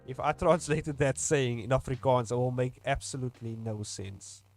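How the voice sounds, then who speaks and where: A man's voice: mid-range voice, normal speed, soft background music. A young South African man with a South African English accent, chatting casually on a livestream while he draws, rambling with lots of fillers and restarts. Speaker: webcomic streamer.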